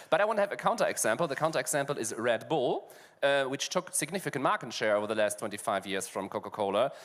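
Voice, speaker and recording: A man's voice: medium pitch, fairly bright tone. A man with a German accent talks on a big conference stage, casual and chatty, full of little fillers. His voice sits in a middle register and carries the long reverb of a large hall. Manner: conversational, many fillers like "you know", laughs at himself. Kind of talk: on-stage conversation.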